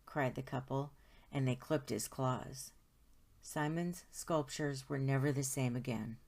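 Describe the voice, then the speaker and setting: Low voiced lady doing storytime, reading a kids book out loud, stretches out the important words. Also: a little slow. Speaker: storytime host reading aloud. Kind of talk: expressive children's picture book narration.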